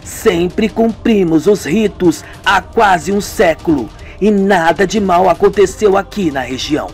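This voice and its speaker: A man voicing a cold female cult villager who states threats calmly and matter-of-factly. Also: on the low side, a little slow, faint music behind. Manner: calm, matter-of-fact menace.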